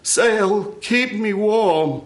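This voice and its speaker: Man acting a high, desperate freezing fisherman. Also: extremely slow. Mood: desperate pleading. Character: freezing, desperate but defiant fisherman adrift at sea. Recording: roomy, echoing live studio performance.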